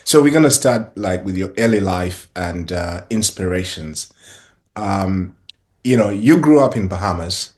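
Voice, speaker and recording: A man hosting a casual interview podcast, asking questions in a relaxed way with plenty of fillers. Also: sits low, measured pace. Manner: relaxed, many fillers like um and you know. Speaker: podcast host.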